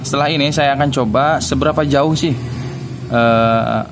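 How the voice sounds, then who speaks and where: A man's voice: medium pitch, normal speed, noisy background. A man giving a casual, explanatory product demonstration as a reviewer.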